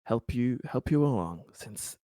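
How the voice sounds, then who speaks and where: A man playing a cautious official in a tabletop roleplaying game, speaking formally and hesitantly as he deliberates. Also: medium pitch, expressive, warm tone, no room sound, studio quality, lightly acted. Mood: cautiously cooperative. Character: cautious, deliberating male official negotiating with an agent. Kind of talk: improvised tabletop roleplay dialogue.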